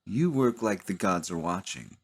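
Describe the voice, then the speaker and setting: Man reading as a low-voiced, watchful master. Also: animated, normal speed. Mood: musing. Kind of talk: audio drama storytelling.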